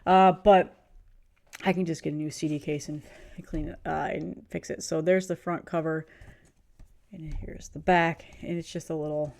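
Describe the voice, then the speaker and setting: A woman's voice: low voice, fairly even intonation, moderate pace, creaky, a little mellow. A woman in her thirties with a cold, chatting casually and enthusiastically as she reviews music, rambling a bit and laughing often. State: has a cold, sniffly. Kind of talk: casual video review chat.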